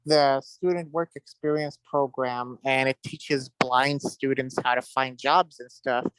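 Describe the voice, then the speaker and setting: A man's voice: mid-range voice, fairly even intonation, unhurried, fairly bright tone, smooth, dry recording, poor audio. A man from the telling personal stories casually and openly as an interview guest. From Middle East (Iraq).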